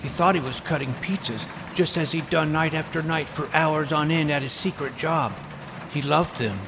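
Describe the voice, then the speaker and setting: A man's voice: a little high, normal speed, close to an everyday voice. A man playing a serious, imaginative twelve-year-old vampire hunter, narrating his own story in a dramatic, bookish way. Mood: earnest, suspenseful. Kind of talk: scripted first-person story narration. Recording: noisy ambient background.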